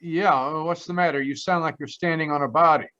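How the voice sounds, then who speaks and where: Wisecracking male private eye played by a man, radio comedy style; punchy bright tone, lo-fi recording. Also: fairly high pitch, fairly even intonation, a little fast. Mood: wry. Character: wisecracking, cocky, flirtatious male private detective. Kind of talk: old-time radio detective comedy acting. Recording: dull, lo-fi video-call audio.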